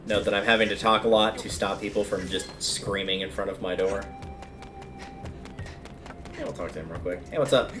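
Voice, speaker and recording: A man with a fairly low voice talks his way through a video game on a livestream. He rambles casually, thinking aloud as he plays, with music playing behind him. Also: steady tone, normal speed, pauses often. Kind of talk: casual gameplay commentary.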